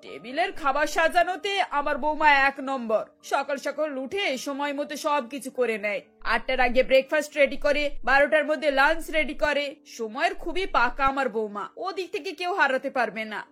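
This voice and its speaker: A woman's voice: sits high, moderate pace, hardly any pauses, fairly bright tone. A woman voicing a sarcastic, fed-up older mother-in-law in a cartoon, grumbling as she talks. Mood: grumbling, resentful. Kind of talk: Bengali cartoon voice acting. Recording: music bed behind the voice.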